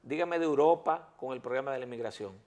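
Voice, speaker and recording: A man's voice: mid-range voice, fast, a little mellow. A man, a lawyer guest on a talk show, arguing insistently and repeating words to hold the floor. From Dominican Republic. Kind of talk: heated talk-show debate.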